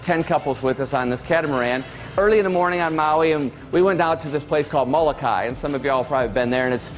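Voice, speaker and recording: A man's voice: a little high, quick pace, rough recording. Man telling a keynote story. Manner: conversational, animated storyteller. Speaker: keynote speaker.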